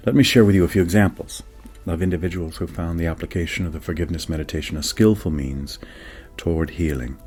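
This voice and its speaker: A man reading a scripted spiritual meditation teaching in a measured, deliberate way, with dramatic pauses. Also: low-pitched, a little mellow, slightly rough. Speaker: reader of a spiritual book. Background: faint music.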